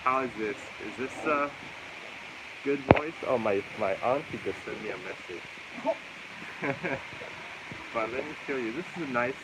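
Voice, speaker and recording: A man with a loud voice hosting a casual outdoor livestream from a roadside cafe, holding the phone camera himself. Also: medium pitch, lively intonation, unhurried, long pauses, very noisy. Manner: loud voice, chatty and easygoing. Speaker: livestream host. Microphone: handheld phone camera.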